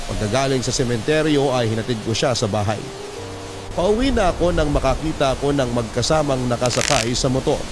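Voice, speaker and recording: A man narrating a sentimental story in a solemn, dramatic scripted style, as a host reading a letter. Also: mid-range voice. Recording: loud music bed behind voice.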